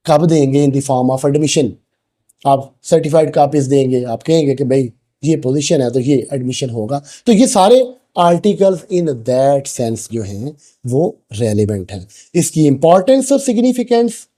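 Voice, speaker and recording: A Pakistani man delivers a lecture in a soft, mellow tone that sits a little high for a male voice. He is didactic, laying out each point in turn as a teacher would for exam students. English technical terms are mixed into his explanations. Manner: didactic, switches between Urdu and English legal terms. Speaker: law lecturer.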